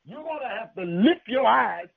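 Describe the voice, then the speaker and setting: A man preaching in a high, gravelly voice with a breathy, husky edge. He drives the sermon with call-and-response and recites scripture. The sound is dry and narrow, like a tinny radio broadcast. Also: expressive, normal speed, no room sound.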